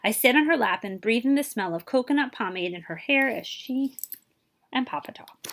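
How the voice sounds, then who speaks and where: A woman reading a children's picture book aloud in an animated way, speaking directly to young listeners. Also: on the high side, moderate pace. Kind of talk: expressive children's read-aloud with asides to listeners.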